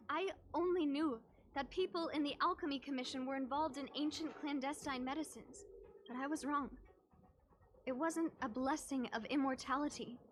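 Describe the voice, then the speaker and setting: Actress as sickly young game heroine, high earnest voice, desperate, bit of noise behind her. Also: close to an everyday voice. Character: ailing, desperate young woman searching for her sweetheart. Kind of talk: video game voice acting.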